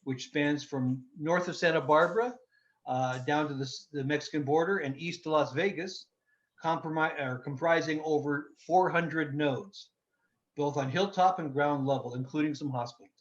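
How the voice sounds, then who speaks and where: A man's voice: fairly high pitch, moderate pace. A man gives a semi-formal introduction as host, heard through dull video call audio. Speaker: club host introducing a speaker.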